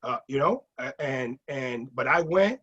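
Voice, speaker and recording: A middle-aged man casually telling stories in an animated way, acting out what people said. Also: medium pitch, a little slow. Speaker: guest sharing nightlife memories. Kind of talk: casual anecdotal storytelling. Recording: muffled lo-fi audio.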